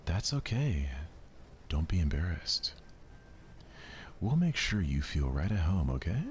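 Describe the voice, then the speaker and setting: A man's voice: low-pitched, sing-song, a little slow, slight background noise. A man voicing a confident, friendly teenage girl who leads a school club, with an encouraging, assured manner.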